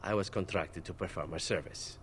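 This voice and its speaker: A glib elf assassin. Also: male voice, low-pitched, a little fast, a bit bright. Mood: sly. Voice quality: smooth, playful and teasing. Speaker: game character. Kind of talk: video game voice acting.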